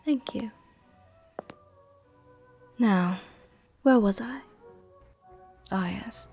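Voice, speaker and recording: A woman playing a worldly, softly dominant vampire countess in an intimate roleplay ASMR, with a soft, gentle, tenderly commanding way of speaking. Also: mid-range voice, extremely slow, creaky, soft background music. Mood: composed, inviting.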